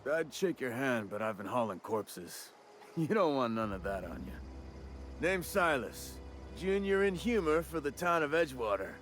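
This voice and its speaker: Game role: affable small-town undertaker. Mid-pitched, folksy, chatty frontier talk over faint music. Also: male voice, very animated, normal speed, fairly bright tone. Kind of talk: video game character acting.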